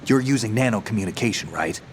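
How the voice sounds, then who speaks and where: Gruff, low-voiced veteran soldier played by a man. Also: lively intonation, fast talker, slight background noise, close to an everyday voice. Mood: low, businesslike. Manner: terse, clipped, questioning. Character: gruff, world-weary veteran male soldier, guarded and probing. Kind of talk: video game cutscene acting. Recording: game music behind the voice.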